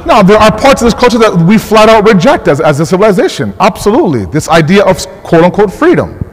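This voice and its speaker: An American man preaches in a fairly high, lively voice that swings up and down as he presses each point. He repeats and restarts phrases with urgency. A long hall reverb trails behind his words. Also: quick pace. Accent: American English. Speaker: mosque speaker. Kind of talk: sermon. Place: mosque hall.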